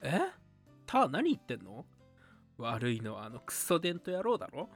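Man as dry, resigned young friend, mid pitch. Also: very animated, normal speed, creaky, soft background music. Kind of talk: amateur radio drama dialogue.

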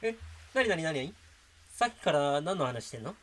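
A man playing a carefree, slow-witted, lecherous university student in an amateur radio drama. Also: on the high side, big pitch swings, a bit bright.

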